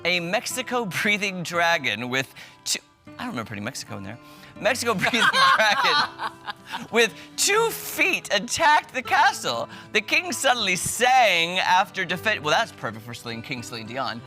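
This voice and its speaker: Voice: mid-range voice, very animated, moderate pace, pushed and bright. A TV show host in playful banter, reading a silly fairy tale aloud with emphasis, music playing behind.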